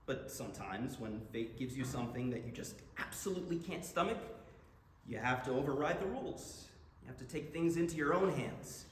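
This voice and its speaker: A man's voice: medium pitch, expressive, fairly quick, close to an everyday voice. A young man playing an earnest, argumentative college student game master in a staged play reading. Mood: earnest, defensive. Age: young adult. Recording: echoey hall, long reverb, background noise.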